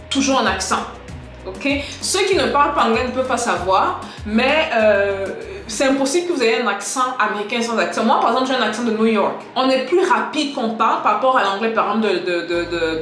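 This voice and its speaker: A woman giving a casual spoken lesson to camera, lively and direct, talking straight to her viewers. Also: fairly high pitch. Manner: lively, direct, addresses viewers as "les gars"; switches into English example sentences. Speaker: English teacher on a video channel. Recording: echoey room with faint music.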